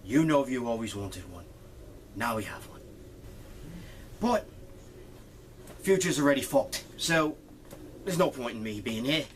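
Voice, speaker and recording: A man's voice: mid-range voice, lively intonation, measured pace, pauses often, slight background noise, pitched below the performer's own voice, close to an everyday voice. A man voicing a brash, foul-mouthed future version of himself, with a put-on foreign accent and lots of swearing. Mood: dismissive.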